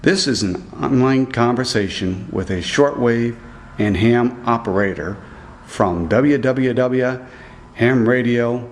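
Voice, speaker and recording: A man narrating a scripted report as a student reporter, reading aloud in a deliberate way. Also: medium pitch, slight background noise.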